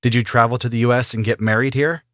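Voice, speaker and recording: A man's voice: medium pitch, fast, no echo, lightly acted. Guy playing a plain businesslike immigration officer, mid voice, dry close mic. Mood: formal. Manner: formal, steady questioning. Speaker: immigration officer in a practice interview. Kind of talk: scripted role-play interview.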